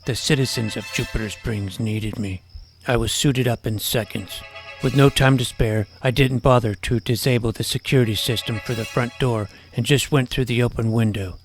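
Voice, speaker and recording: Gravelly, earnest boy superhero played by a man. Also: mid-range voice, fairly even intonation, moderate pace, faint music behind, natural voice. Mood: earnest, dramatic. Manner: mock-dramatic, earnest. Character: boy superhero narrator, junior high age, earnest and self-important. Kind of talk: scripted first-person story narration.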